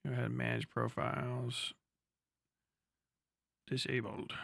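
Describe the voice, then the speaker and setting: A man's voice: medium pitch, sing-song, measured pace, vocal fry, a bit bright, husky, dry recording, clean studio sound. A man live-streaming, chatting casually with viewers in a rambling, jokey, sweary way. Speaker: livestreamer.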